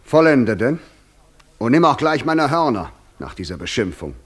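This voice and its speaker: An actor playing a proud, wry Devil, a fallen archangel, in a German radio play, with a haughty theatrical manner. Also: male voice, medium pitch, big pitch swings, normal speed, slightly rough. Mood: proud, sardonic.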